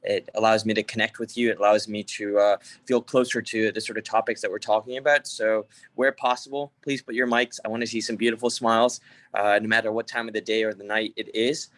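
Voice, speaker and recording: A young man with a fairly low voice talks casually over a video call, the sound close and dry. He presents in an easygoing, informal way, full of fillers and restarts. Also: little pitch movement, brisk, fairly bright tone, no echo. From Hong Kong. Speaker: conference speaker.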